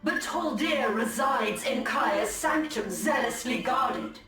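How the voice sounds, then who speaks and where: A woman's voice: sits high, expressive, slow. A woman playing a resolute, wary alien seer in a video game, speaking formally and solemnly. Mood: wary, probing. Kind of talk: dramatic video game cutscene acting. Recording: echoey and distant, music behind.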